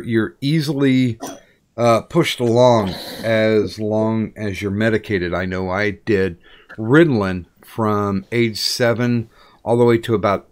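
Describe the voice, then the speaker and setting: A middle-aged man interviews a guest at a slow, unhurried pace, his voice sitting low. He stops often, restarts sentences and fills gaps with "uh" and "um." It sounds like relaxed conversation. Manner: slow, halting, many fillers and restarts. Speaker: podcast interviewer. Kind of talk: casual interview chat.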